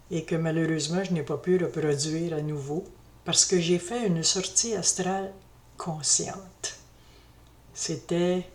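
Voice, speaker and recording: A middle-aged woman tells a personal story in a vlog. Her voice sits fairly low, and she speaks very slowly. She thinks aloud as she goes, with frequent fillers and restarted phrases. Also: a little mellow. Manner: slow, reflective, hesitant, with many fillers and restarts. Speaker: spiritual vlogger.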